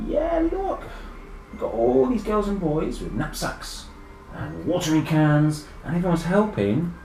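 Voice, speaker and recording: In an echoey room with faint noise behind him, a British man reads a children's picture book aloud. His delivery swings up and down in a very lively way. He breaks off for enthusiastic, chatty asides straight to his young audience. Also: on the high side. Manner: enthusiastic, exclaiming and addressing young listeners directly.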